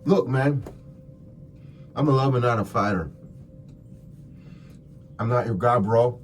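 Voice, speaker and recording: A man's voice: medium pitch, takes it slowly, slight background noise, lightly acted. A man playing a cocky, evasive, laid-back murder suspect in an ASMR roleplay, slangy and flippant. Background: music.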